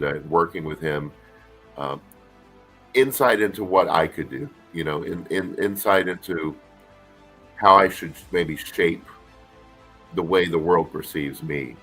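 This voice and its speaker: A middle-aged man, an actor guest with a deep voice, talking casually in an interview with lots of fillers and restarts. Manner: relaxed, rambling, many fillers and restarts.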